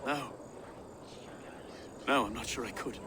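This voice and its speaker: An actor playing a devout, self-doubting reeve in a game, with an earnest, confiding manner. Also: male voice, mid-range voice, slow, background noise, close to an everyday voice. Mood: troubled, confiding.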